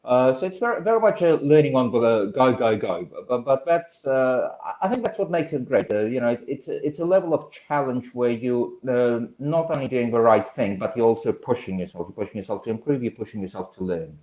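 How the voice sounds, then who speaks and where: A man in his thirties, originally from Russia, answering interview questions as a political candidate in an earnest, halting way with many pauses and restarts. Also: mid-range voice, expressive. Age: 30s. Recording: echoey room, dull lo-fi sound.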